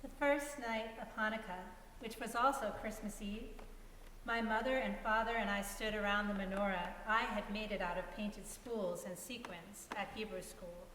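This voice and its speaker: A woman's voice: medium pitch, fairly even intonation, normal speed, a bit bright. A woman reading a scripted first-person story aloud as part of a dramatic reading. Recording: echoey hall, distant mic, noisy ambience.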